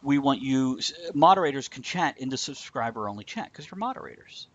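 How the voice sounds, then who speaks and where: A man's voice: medium pitch, lively intonation, brisk, a bit bright, dry recording. Dull-sounding blunt male stream host. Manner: blunt, swearing, joking. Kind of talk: casual rambling stream chat.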